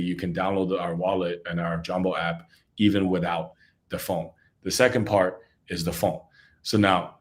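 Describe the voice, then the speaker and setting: A man who grew up in Congo, a startup CEO, giving casual interview answers over a remote call and often tagging his points with "right?". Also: deep voice. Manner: conversational, often tags "right?".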